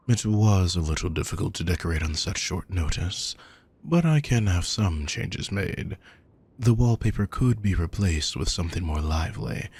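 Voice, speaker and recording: A man playing a possessive, menacing aristocratic villain in a roleplay audio, with a husky, gravelly voice and a condescending, sardonic manner, speaking intimately to the listener. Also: low-pitched, a little mellow, heavily acted. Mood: controlling, composed. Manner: smooth-worded, condescending, darkly sardonic.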